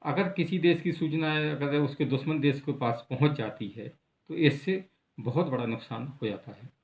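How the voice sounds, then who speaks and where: A thin, phone-like recording with plenty of room echo carries an Indian man's voice. He presents news as an unscripted explainer, speaking haltingly at a medium pitch. He often repeats himself and leans on filler words.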